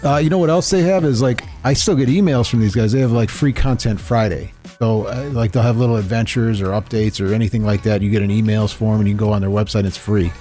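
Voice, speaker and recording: Fast-talking man, rambling podcast host. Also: fairly low pitch, warm tone, faint music behind. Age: middle-aged. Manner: rambling, loose, lots of fillers and restarts. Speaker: host presenting a tabletop game. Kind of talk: casual podcast chat. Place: shop or studio room.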